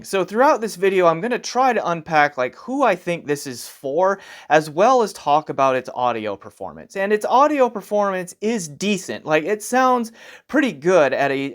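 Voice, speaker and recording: A man's voice: fairly high pitch, dry recording, studio quality. A man giving a casual, conversational product review as the host of an audio gear channel. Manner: conversational, informal.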